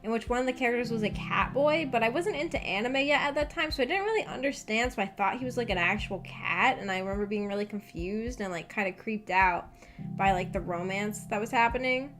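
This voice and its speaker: A woman's voice: a little high, expressive, brisk. A young adult woman chatting casually on a livestream, rambling and thinking aloud as she answers her viewers. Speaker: streamer, host of a visual novel book club. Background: background music.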